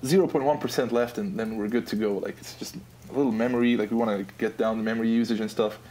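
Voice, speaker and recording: A man's voice: fairly low pitch, steady tone, quick pace, warm tone, a little hiss or hum. A man in his twenties from Austria, with an Austrian accent, chatting as a podcast guest in a laid-back, joking, rambling way. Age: 20s. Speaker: podcast guest, app designer. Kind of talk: casual podcast chat.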